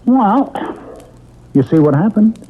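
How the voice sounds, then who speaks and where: A man's voice: high voice, big pitch swings, slow pace, slight background noise, exaggerated voice. An actor playing a matter-of-fact, insistent wronged man, plain-spoken, in classic radio drama style. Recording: dull, lo-fi old radio recording.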